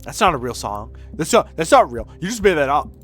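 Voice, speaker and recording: A man doing casual, sweary video game commentary as a streamer in an animated way. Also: a little high, a little fast, soft background music.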